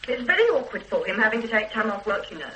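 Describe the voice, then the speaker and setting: A blunt, sharp-tongued wife. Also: female voice, fairly high pitch, sing-song, fast talker, slight background noise, low-quality recording. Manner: blunt and tart. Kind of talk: radio drama acting.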